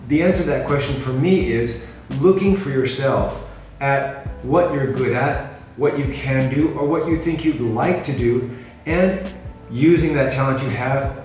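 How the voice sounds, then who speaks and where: A middle-aged American man from California, talking casually to the camera in a measured way and often rephrasing himself. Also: mid-range voice, a little mellow. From California, USA. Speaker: freelancer presenting himself. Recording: very echoey room, mic at a distance, music behind.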